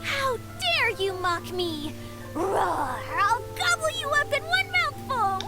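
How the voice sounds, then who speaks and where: A woman voicing a gentle, wistful water fairy in a video game, reflective and soft-hearted as she tells her story. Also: very high-pitched, very animated, slow pace, full character voice. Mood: mock fierce. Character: gentle, wistful female water fairy, lonely but content. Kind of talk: scripted video game voice acting. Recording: game music behind the voice.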